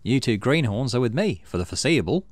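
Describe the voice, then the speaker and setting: A man's voice: mid-range voice, sing-song, fast talker, no room sound. A man voicing a breezy, protective woman chief surveyor in a visual novel, with folksy informal talk. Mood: brisk, friendly. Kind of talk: acted visual novel dialogue.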